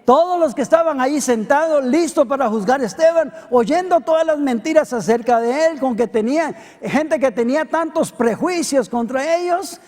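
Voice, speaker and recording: A middle-aged Costa Rican pastor preaching a sermon, swinging between loud emphatic peaks and quiet low asides. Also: male voice, normal speed, few pauses. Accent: Costa Rican Spanish. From Costa Rica. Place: church hall. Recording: long hall reverb.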